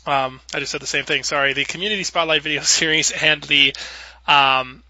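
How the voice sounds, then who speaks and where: A man's voice: a little high, fairly even intonation, brisk, bright, forceful tone, low-quality recording. A man hosting a podcast in a casual, chatty, rambling way. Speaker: podcast host.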